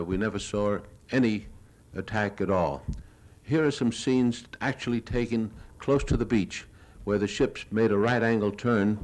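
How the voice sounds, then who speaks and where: An older American man giving a lecture, narrating his own war film in a measured, plain-spoken, reminiscing way. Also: lowish voice, a little mellow. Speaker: newsreel reporter giving a lecture. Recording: 1970s archival lecture audio recording.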